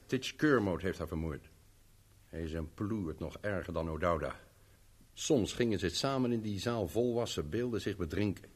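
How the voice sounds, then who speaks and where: An actor in a 1970s radio play playing a bitter, vengeful older servant, speaking in a grave, formal, terse way. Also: male voice, low-pitched, moderate pace, husky.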